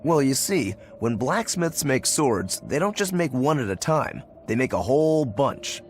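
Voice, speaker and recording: A man voicing a determined swordsman demon in a video game, explaining things in a measured way. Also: medium pitch, big pitch swings, a little hiss or hum. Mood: matter-of-fact. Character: determined swordsman demon, stoic younger brother to a master. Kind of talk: English video game voice acting. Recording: game music under the voice.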